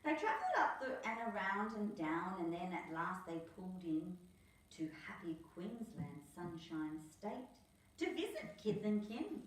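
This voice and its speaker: Animated Australian woman reading in an echoey room. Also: fairly low pitch, measured pace, distant mic. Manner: animated, theatrical emphasis on rhymes. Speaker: storyteller reading a picture book to students. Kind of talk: expressive children's storybook read-aloud. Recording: echoey room, away from mic.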